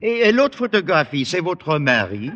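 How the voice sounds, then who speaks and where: An actor playing a courteous, inquisitive Frenchman with a French accent and halting English in an old-time radio comedy. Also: fairly high pitch, very animated, a bit bright. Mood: eager, polite. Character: courteous, inquisitive Frenchman, formal and easily delighted. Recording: dull, reverberant old radio recording.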